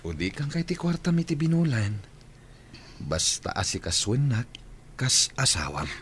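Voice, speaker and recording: A man's voice: medium pitch, big pitch swings, measured pace, fairly bright tone. A man acting in a radio drama as a domineering businessman who coaxes and bosses a younger woman. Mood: coaxing, insistent. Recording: noisy recording with background ambience.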